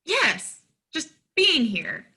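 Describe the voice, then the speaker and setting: A woman's voice: high voice, very animated, very slow, sharp tone, heavily acted. A woman playing an eager, nervous, naive small-town young woman in a play reading, hesitant and full of questions. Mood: eager, excited. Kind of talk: play reading, stage comedy-drama dialogue.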